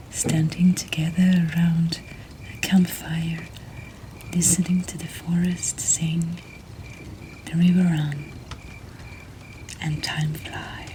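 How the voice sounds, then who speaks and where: A woman whispers in a breathy, soothing and intimate way, hosting and reading poetry in an ASMR style. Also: on the low side, a little mellow, a little hiss or hum, low-quality recording. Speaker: host of a poetry reading series.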